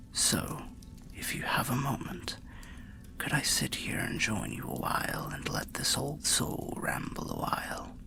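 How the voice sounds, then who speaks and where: Wanted: male actor for an old undead guide in fantasy ASMR roleplay, kindly and cautionary, slow gravelly delivery, breathy whispering. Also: rumbling low, exaggerated voice. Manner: slow, rambling, archaic, gently cautionary storyteller. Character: weary, kindly old undead warrior soul, cryptic guide.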